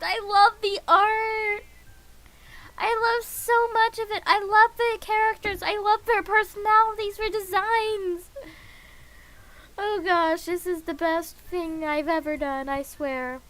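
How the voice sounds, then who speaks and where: A woman streaming a game, reading visual novel dialogue aloud in an expressive, playful, gushing way. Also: very high voice.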